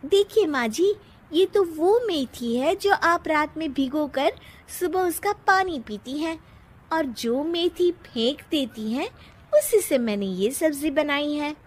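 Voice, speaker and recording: A woman's voice: sits high, animated, takes it slowly, pitched above the performer's own voice. A woman voicing a dutiful, competitive young daughter-in-law in a cartoon story, polite and explanatory. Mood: explaining, proud.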